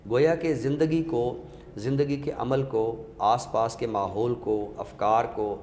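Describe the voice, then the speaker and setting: A man's voice: medium pitch, fairly even intonation, a little slow, a little mellow. A man explains literary ideas step by step with examples, in a didactic way, as an expert guest. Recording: echoey reverberant room with background noise.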